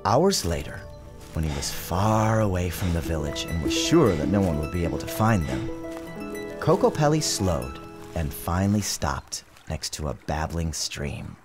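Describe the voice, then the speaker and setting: Male storybook narrator, theatrical and expressive, swooping intonation, music underneath. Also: low voice, measured pace. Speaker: author narrating his storybook. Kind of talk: dramatic scripted storybook narration. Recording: music and sound effects behind narration.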